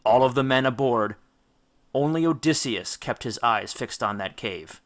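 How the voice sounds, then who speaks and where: Bright, forceful male storybook narrator. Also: mid-range voice, animated. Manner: theatrical, emphatic, with deliberate dramatic stresses. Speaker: audiobook narrator. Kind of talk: dramatic scripted storybook narration.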